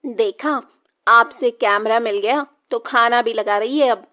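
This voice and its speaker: A woman's voice: a little high, unhurried, pushed and bright. A woman playing an exasperated but affectionate mother in a children's cartoon story, chiding and fond.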